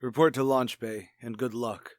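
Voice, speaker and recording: A man acting a brisk, authoritative space fleet commander in a retro radio drama. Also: medium pitch, steady tone, no echo, lightly acted. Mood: businesslike. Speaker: announcer and actor in an audio drama.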